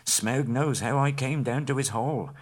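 Nervous little hobbit guy, man voicing him, squeaky high voice, talks fast when scared. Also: lightly acted. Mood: worried. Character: small timid male hobbit, nervous and polite.

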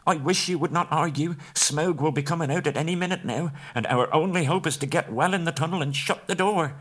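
A man voicing a small timid hobbit in a high, squeaky, nervous voice. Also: a little fast, a bit bright. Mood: worried.

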